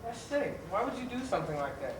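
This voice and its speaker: A woman's voice: mid-range voice, very animated, quick pace, recorded from a distance, natural voice. Woman playing a concerned child, heard from afar. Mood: disapproving. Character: concerned, disapproving young child friend. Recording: noisy, echoey stage recording from afar.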